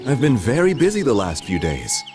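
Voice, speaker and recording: An actor playing a gentle, formal meadow spirit in an audio drama, speaking softly and tentatively. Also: male voice, medium pitch, wildly swooping pitch, moderate pace, faint music behind. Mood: tender. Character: gentle meadow spirit, male-voiced, shy.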